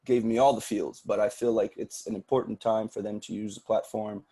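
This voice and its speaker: Husky, mellow-voiced male podcast guest. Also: lowish voice, level tone, a little fast. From Sudan. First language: Arabic. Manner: earnest, thoughtful, repeats words when starting. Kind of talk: casual interview talk.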